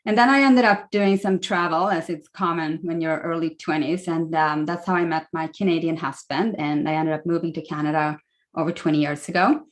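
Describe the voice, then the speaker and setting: A woman's voice: low voice, fairly even intonation, a little fast. A woman in her forties with a Swedish accent, chatting through a slide talk as a guest speaker on a video call. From Sweden. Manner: friendly, chatty, many fillers.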